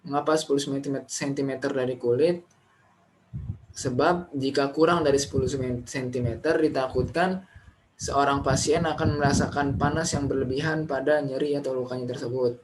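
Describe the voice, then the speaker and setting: A man's voice: medium pitch, noisy background. A young adult man giving an academic student presentation, repeating phrases and posing questions as he explains.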